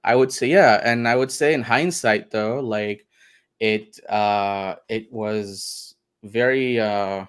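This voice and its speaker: A man from India, a guest on a video call interview from home, speaking in a relaxed, thoughtful way with lots of hedges. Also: fairly low pitch, slow, clean tone. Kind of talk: casual interview.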